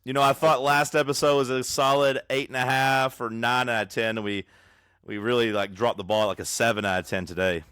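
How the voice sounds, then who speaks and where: A man co-hosting a podcast, loud and teasing as he banters over a remote recording. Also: medium pitch, steady tone, brisk, clean tone, dry recording, clean studio sound. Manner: loud, teasing, jumps in with bits. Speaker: podcast co-host. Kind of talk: casual banter. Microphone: own microphone, recorded remotely in an app.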